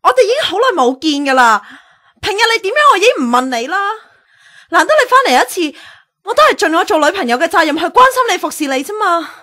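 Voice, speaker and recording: Woman acting a high, bright, pleading girlfriend. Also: sing-song, quick pace, exaggerated voice. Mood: hurt, indignant. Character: devoted, neglected young girlfriend, pleading and hurt. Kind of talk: audio drama acting.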